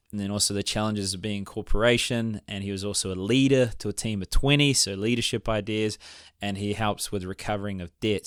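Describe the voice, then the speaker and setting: A man's voice: lowish voice, clear, resonant tone, dry recording, clean studio sound. A man hosting a podcast, chatting casually, rambling loosely and laughing often. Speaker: podcast host.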